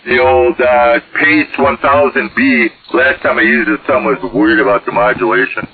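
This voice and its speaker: A man's voice: medium pitch, fairly even intonation, moderate pace, gravelly. A man chatting casually over CB radio in a hesitant, folksy way. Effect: radio transmission. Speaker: CB radio operator. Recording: tinny, band-limited CB radio signal.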